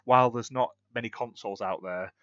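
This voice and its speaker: A man's voice: mid-range voice, a little fast, fairly bright tone, dry recording, dull audio. A British man from the UK co-hosting a gaming podcast, chatting casually and rambling through news with frequent restarts. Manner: rambling, self-correcting, chatty. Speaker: podcast co-host. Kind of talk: casual chat.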